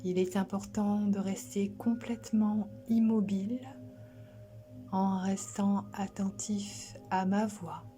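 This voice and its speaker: A woman leads a guided sleep meditation in a soft, breathy, near-whispered voice. Her tone is dark and mellow, and her delivery is very unhurried and flat, with long soothing pauses. Gentle music plays behind her. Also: mid-range voice. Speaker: yoga nidra instructor.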